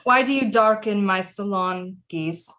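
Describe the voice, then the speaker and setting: A woman's voice: mid-range voice, a little slow, a bit bright, natural voice. An actress playing a proud older French queen mother in an audio play, speaking formally and imperiously. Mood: cold, indignant. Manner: formal, imperious, terse retorts. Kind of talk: theatrical audio play acting.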